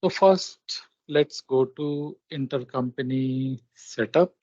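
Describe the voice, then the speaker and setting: A man narrating a software tutorial step by step, speaking haltingly in short phrases. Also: mid-range voice, dry recording. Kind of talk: step-by-step screen demonstration narration. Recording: dull, lo-fi close microphone recording.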